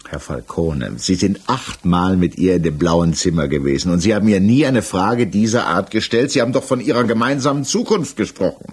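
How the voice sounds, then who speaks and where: A man's voice: deep voice, expressive, brisk, few pauses, a little mellow, slightly rough. An actor playing a probing, sceptical examining magistrate in a 1960s German radio play, formal and pointed in his questioning.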